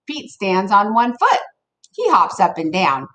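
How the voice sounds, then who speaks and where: A woman reading a children's story aloud like a teacher, addressing young listeners in an expressive way. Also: mid-range voice, unhurried.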